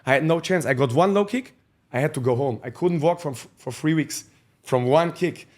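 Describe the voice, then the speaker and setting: A man's voice: a little high, normal speed, a little mellow. A man. He is a podcast guest telling his life story candidly in blunt, profane English with loose grammar. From Austria. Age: 30s. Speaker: guest, fight coach.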